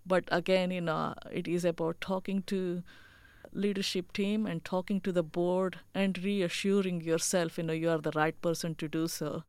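A woman's voice: fairly low pitch, level tone, normal speed, darkish tone, smooth, no echo. Earnest woman with an Indian accent. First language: not English. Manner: earnest, many "you know" fillers. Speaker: chief executive officer. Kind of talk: interview answers.

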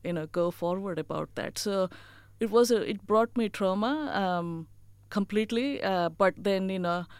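A woman's voice: medium pitch, moderate pace, darkish tone, smooth, no room sound. Earnest woman with an Indian accent. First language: not English. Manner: earnest, many "you know" fillers. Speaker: chief executive officer. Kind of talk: interview answers.

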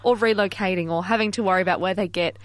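A woman's voice: mid-range voice, fairly even intonation, fast talker, dry recording. Woman host, friendly and curious questioner; dry, close sound. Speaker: interviewer. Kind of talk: interview questions.

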